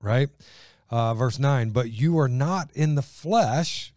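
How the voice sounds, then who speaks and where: A middle-aged man with a booming voice, chatting enthusiastically about faith and reading Bible passages aloud. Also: slow pace, a little mellow, no room sound, studio quality. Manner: booming, enthusiastic, acts out quotes.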